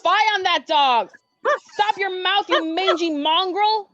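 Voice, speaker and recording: A woman's voice: extremely high pitch, a bit bright, no room sound, muffled recording, heavily acted. A woman acting a flighty, scheming young friend with theatrical mock-Shakespearean diction in a staged reading. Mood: exasperated. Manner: theatrical, drawn-out, archaic diction. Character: flighty, scheming, exasperated young female friend, matchmaking and cursing.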